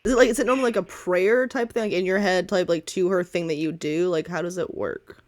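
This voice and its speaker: A woman's voice: on the low side, steady tone, fast talker. A woman game master narrating a tabletop roleplaying game in a casual, playful, improvised way. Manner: playful, chatty.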